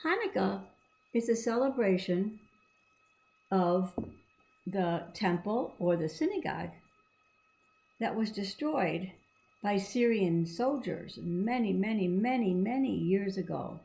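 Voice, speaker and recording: A woman with a noticeably creaky, gravel-edged voice at a middling pitch reads aloud for children. She explains things simply and encouragingly, like a teacher talking to young listeners. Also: animated, takes it slowly, pauses often, dark tone, poor audio. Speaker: children's storybook reader.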